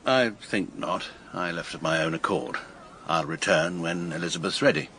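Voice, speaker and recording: A curt, buttoned-up barrister, lowish voice, faint street ambience. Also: male voice, moderate pace, a bit bright, slight background noise. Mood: stiff. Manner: curt, formal. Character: stiff, formal middle-aged barrister.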